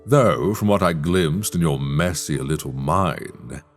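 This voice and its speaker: A man acting a sly, teasing master of a magic academy in a dark fantasy ASMR roleplay, speaking in measured, wry, formal phrases. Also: rumbling low, soft background music, heavily acted. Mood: teasing menace.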